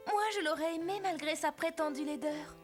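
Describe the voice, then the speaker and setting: An actress plays a loyal little cartoon girl with a very high voice. She is earnest, gentle and expressive, with music clearly behind her. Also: brisk, natural voice. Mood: sad, tender. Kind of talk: French-dubbed cartoon voice acting.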